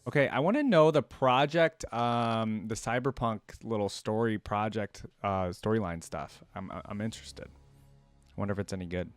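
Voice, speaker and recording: A man's voice: on the low side, moderate pace, no room sound. A man giving casual reaction commentary as a video host, speaking close to the microphone. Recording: dry, with game music behind.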